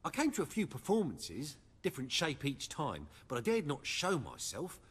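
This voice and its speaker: An actor playing an anxious, earnest shapeshifter in a fantasy game, timid but loyal and expressive. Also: male voice, fairly high pitch, moderate pace, husky, in a large hall, full character voice. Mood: anxious, regretful.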